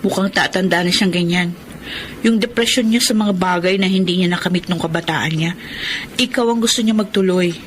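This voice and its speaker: An actress playing a caring, level-headed young Filipina sister in a radio drama, earnest and soothing. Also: female voice, fairly low pitch, a little fast, a little hiss or hum, natural voice. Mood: consoling.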